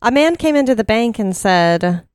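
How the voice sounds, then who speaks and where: A woman's voice: on the high side, expressive, moderate pace, clear, resonant tone, no echo. A playful, giggly woman chatting on a podcast. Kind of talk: casual podcast banter.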